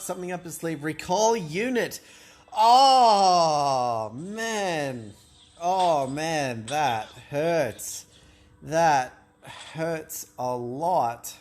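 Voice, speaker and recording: Hesitant man thinking aloud. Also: on the high side. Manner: hesitant, self-doubting, lots of restarts and fillers. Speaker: gameplay commentator. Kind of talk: thinking aloud while playing a card game.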